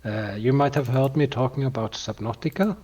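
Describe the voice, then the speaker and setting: A man co-streaming a game, explaining at length in a calm, measured way. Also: mid-range voice, highs cut off. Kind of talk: casual chat while gaming.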